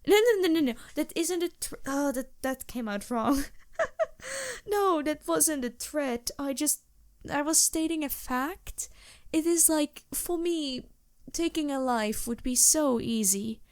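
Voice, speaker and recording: Woman acting a high-voiced, polite vampire woman. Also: unhurried, a little mellow. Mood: flustered. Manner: formal, hesitant, earnest phrasing. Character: polite, anxious two-hundred-year-old vampire woman, gentle and formal. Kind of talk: fantasy ASMR roleplay acting.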